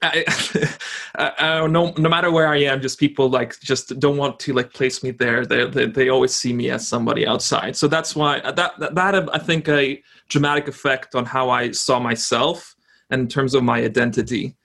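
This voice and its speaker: Gravelly-voiced young man, halting. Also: medium pitch, steady tone, a bit bright. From Poland. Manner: hesitant, frequent restarts. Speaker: guest. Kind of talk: casual conversation.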